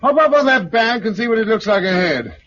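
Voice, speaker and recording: Male, practical survivor, high voice, 1950s radio drama style, dull recording with faint noise. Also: big pitch swings, brisk, a bit bright. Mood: brisk, practical. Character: practical, decisive male survivor travelling by road.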